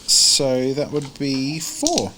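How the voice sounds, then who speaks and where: A man's voice: mid-range voice, big pitch swings, very slow, noisy background. A man chatting casually and playfully as a player in a tabletop roleplay game. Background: background music.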